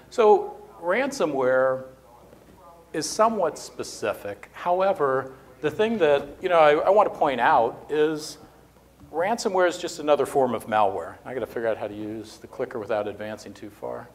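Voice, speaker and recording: A man's voice: medium pitch, animated, normal speed, creaky. Man giving a chatty conference talk. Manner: conversational, with many "you know" fillers. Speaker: conference speaker. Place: conference room, indoors. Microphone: podium mic, standing beside lectern.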